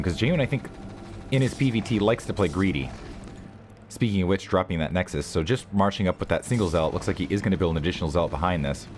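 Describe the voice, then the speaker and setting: A man with a sore, hoarse throat giving casual play-by-play commentary on a strategy game match from home, chatty and rambling between calls. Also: low voice, slight background noise. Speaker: game caster. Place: home room, daughter nearby.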